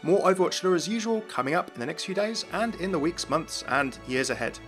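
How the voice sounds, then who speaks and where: A man's voice: a little high, animated, a little fast, fairly bright tone. A man hosting a gaming news video in scripted, explanatory voice-over narration. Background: music.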